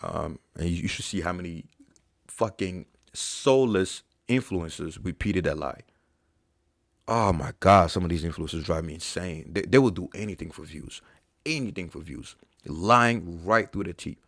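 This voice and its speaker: Deep-voiced, blunt man ranting. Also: moderate pace, creaky, dry recording, clean studio sound. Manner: blunt, profane, exasperated debunking. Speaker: fitness channel host. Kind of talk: live Q&A, reading chat questions.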